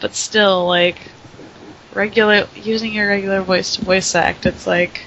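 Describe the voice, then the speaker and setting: A woman's voice: medium pitch, flat delivery, unhurried, dull audio, heavy background noise. A woman voice actor chatting casually on a podcast, giggling and full of fillers. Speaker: podcast guest, voice actress. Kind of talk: casual chat.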